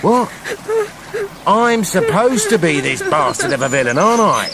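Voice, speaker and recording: An actor playing a cheeky, sarcastic talking wolf villain in a comic radio play, with a blunt, mocking, delivery. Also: male voice, fairly high pitch, sing-song, unhurried, vocal fry, very noisy, heavily acted. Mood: mocking, argumentative.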